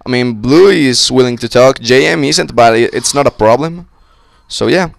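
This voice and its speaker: A young man with a slightly sick voice, giving casual, excitable commentary on a co-op game with friends on a close mic. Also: mid-range voice, moderate pace, gravelly. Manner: excitable, swearing, joking with friends. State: sick, voice a little off. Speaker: gameplay commentator. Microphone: close-up desk mic.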